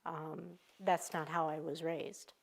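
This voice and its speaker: A woman in her fifties from Michigan speaking earnestly and with determination in a news interview, pausing often as she talks. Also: low voice, level tone. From Michigan, USA. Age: 50s. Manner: earnest, determined, halting with pauses.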